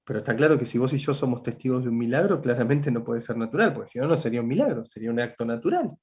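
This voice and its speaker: A Rioplatense man in his forties preaching on a livestream. He talks to his listeners directly in a casual, joking way, with a voice of ordinary pitch. The audio sounds muffled and lo-fi. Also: animated, rapid-fire, a little mellow. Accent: Rioplatense Spanish. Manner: conversational, addresses listeners directly, with jokes. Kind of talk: livestreamed Bible teaching.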